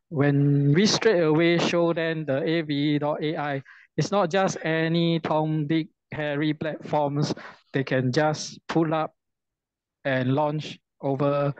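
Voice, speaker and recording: A man hosting a video call Q&A, talking slowly and reassuringly and repeating himself, over dull call audio. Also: on the high side, dry recording. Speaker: Zoom session host.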